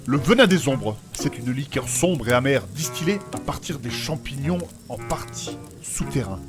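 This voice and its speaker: An actor playing a learned fantasy priest who explains things in a formal, politely pedantic, storytelling way in a comedy audio drama. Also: male voice, mid-range voice, animated, normal speed, natural voice. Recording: faint music behind the voice.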